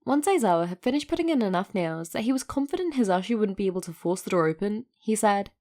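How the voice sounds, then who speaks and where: A woman's voice: mid-range voice, lively intonation, quick pace, darkish tone. A woman narrating a piece of fiction from a script, as a storyteller. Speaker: narrator, fan fiction reader.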